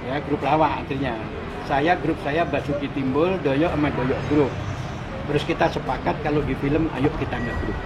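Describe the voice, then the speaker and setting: An older man from Kediri, telling stories in a relaxed interview. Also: on the high side, very noisy. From Kediri, East Java. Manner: relaxed storytelling, drawn-out pauses. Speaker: guest comedian. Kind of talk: casual interview. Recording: music playing behind.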